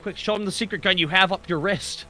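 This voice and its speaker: A man playing a tabletop role-playing game, chatting casually and reacting in an excitable, exclamatory way. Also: high voice, fast. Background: music.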